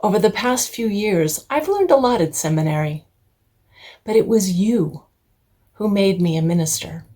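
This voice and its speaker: A woman's voice: medium pitch, expressive, normal speed, dark tone. A middle-aged woman, a singer and intern minister, gives a heartfelt personal address to her congregation.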